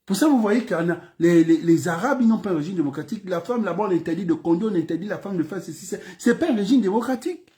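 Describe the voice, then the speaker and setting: Emphatic high-voiced Gabonese man. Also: expressive, quick pace, dark tone. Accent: Gabonese French. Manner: emphatic, repeats phrases, rhetorical questions. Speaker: political commentator. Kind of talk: impassioned political speech.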